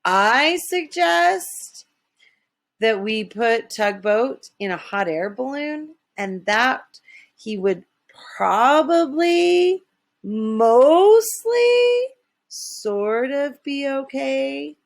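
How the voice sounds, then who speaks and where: A woman voicing an anxious, earnest elf who tells a children's story with dramatic, drawn-out emphasis. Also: high voice, very animated, gravelly, pitched above the performer's own voice, exaggerated voice. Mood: hesitant.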